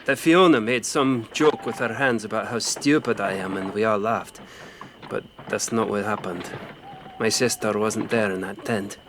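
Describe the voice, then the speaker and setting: A man acts a grim, haunted fighter telling his own tale in an audio drama. The voice is low and solemn, edged with bitterness, over clearly audible background ambience. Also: moderate pace, noisy background, lightly acted. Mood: somber, regretful. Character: grim grown man recounting his violent, grieving boyhood. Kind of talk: first-person dramatic storytelling in an audio drama.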